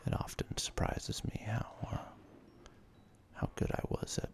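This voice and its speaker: A man in his thirties whispers ASMR video game commentary in a hesitant, self-deprecating, rambling way. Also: measured pace. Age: 30s. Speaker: ASMR let's play host. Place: apartment.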